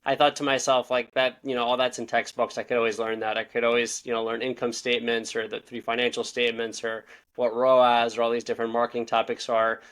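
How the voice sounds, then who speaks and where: A man's voice: medium pitch, level tone, a bit bright. A young man in his twenties from Israel, chatting casually as a podcast guest, rambling and correcting himself as he goes. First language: Russian. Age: 20s. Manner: fast, rambling, self-correcting. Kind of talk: casual interview talk.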